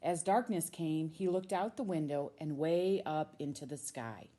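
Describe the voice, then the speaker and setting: A woman with an American accent, a teacher reading a storybook aloud to children with expressive, drawn-out emphasis on key words. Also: low voice. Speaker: middle school science teacher reading a children's book.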